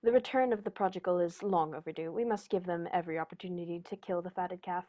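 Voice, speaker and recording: A woman's voice: lowish voice, fast, warm tone, lo-fi sound, a little hiss or hum, close to an everyday voice. A woman playing a shrewd male Victorian detective in a 1940s-style radio play, speaking formally and with authority. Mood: wry, brisk.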